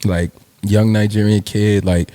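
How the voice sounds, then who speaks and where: Mellow-voiced young rapper from New Jersey. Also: male voice, fairly low pitch, level tone, unhurried, no echo. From New Jersey, USA. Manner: laid-back slangy, swears freely, laughs. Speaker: rapper guest. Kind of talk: casual interview chat.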